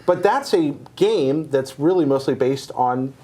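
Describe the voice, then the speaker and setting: A man's voice: a little high, expressive, a little slow, dark tone. A man speaking as a podcast panelist, analytical and full of qualifications, in casual discussion.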